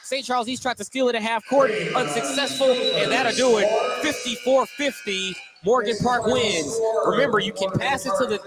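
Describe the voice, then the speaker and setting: A man doing live play-by-play radio commentary on a basketball game as the announcer. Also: high voice. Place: courtside in a gymnasium.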